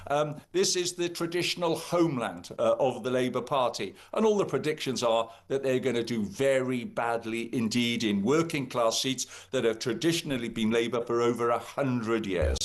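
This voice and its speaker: A man's voice: mid-range voice, normal speed, hardly any pauses. A man with a Welsh accent, a guest commentator on a live talk radio show, speaking emphatically with frequent fillers.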